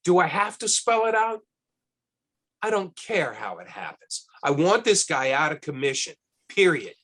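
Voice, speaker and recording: A ruthless boss, played by an actor at a table read, scheming and impatient. The delivery is blunt and commanding, with very lively pitch movement. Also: moderate pace. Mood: cold, demanding. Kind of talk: screenplay table read acting.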